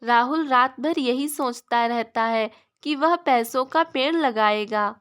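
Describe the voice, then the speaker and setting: Higher-pitched woman narrating children's stories. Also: slow, fairly bright tone, clean tone. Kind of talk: scripted children's story narration.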